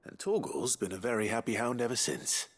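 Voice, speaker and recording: An actor playing a brooding young warrior in a game cutscene, gentle with his hound, with a British accent. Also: lowish voice, animated, fairly quick, breathy edge. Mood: calm, earnest. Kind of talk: video game cutscene acting. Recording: background music under the dialogue.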